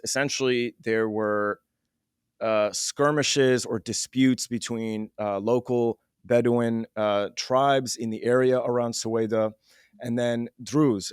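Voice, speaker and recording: A man hosting an interview, setting out context and questions in a measured, explanatory way. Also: medium pitch, smooth, no room sound, clean studio sound. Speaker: interviewer, host.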